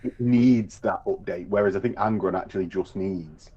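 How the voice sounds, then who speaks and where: A low-voiced man with a husky, breathy tone co-hosts a casual hobby chat. He talks in a relaxed, hesitant way and often repeats words. The recording sounds dull and lo-fi. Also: normal speed. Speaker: co-host.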